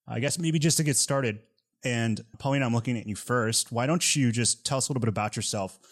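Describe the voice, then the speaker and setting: A man hosting a podcast interview, relaxed and joking, with plenty of fillers. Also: mid-range voice, brisk. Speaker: podcast host.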